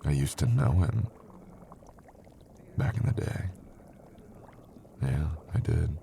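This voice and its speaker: Man acting a smug, gravelly low-voiced flirt. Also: slow, warm tone, a little hiss or hum, heavily acted. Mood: teasing, intimate.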